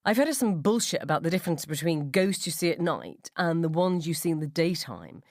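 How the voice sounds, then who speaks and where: A woman's voice: low voice, sing-song, fairly quick, no echo, studio quality. Woman voicing a sneering, gossipy school-gate mum. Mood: gleeful scheming. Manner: emphatic, drawling, mocking.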